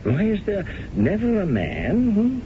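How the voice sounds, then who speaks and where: A flamboyant stage director, all doting charm and little chuckles, his voice bouncing up and down and sitting a touch high. He comes through a muffled old radio broadcast with a steady hiss behind him. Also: unhurried, full character voice. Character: effusive, fussing male theater director. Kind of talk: 1970s radio drama acting.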